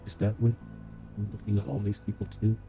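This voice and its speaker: A man's voice: on the low side, little pitch movement, fairly quick, vocal fry, lo-fi sound, music in the background. A young man streaming a game playthrough, thinking aloud as he plays, sounding nasal because he is sick. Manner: chatty, thinks aloud while playing. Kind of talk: live game playthrough commentary.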